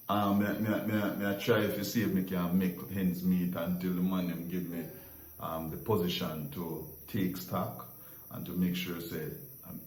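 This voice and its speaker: A man's voice: low-pitched, echoey room, distant mic, poor audio. A Jamaican man hosting a casual story-sharing chat, rambling and repeating words as he searches for them. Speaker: host.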